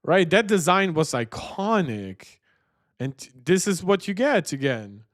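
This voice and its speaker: A man with a slightly high voice hosts a tech livestream. He talks conversationally and gives his opinions straight to viewers. The sound is close and dry. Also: big pitch swings, measured pace, dry recording. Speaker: tech channel host. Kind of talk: livestream chat and reaction commentary.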